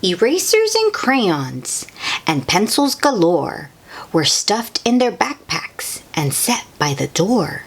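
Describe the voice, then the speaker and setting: A woman reading a picture book aloud to young children in an expressive, sing-song way, with chatty asides to the listeners. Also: mid-range voice, slow, husky. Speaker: children's read-aloud host.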